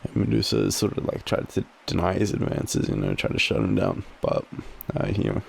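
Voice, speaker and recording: Very deep, gravelly young Australian man, murmuring softly. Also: sing-song. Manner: drowsy, halting, loose and conversational with slangy swearing. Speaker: audio roleplay performer playing a boyfriend. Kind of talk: intimate casual boyfriend roleplay, rambling storytelling. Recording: faint background ambient noise.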